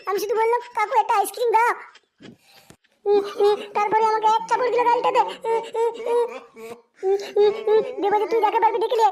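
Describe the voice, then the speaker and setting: Cartoon brat: very high, quick-talking cheeky little boy, whiny and sweary. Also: slight background noise. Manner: brash, whining. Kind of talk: amateur comic cartoon dub.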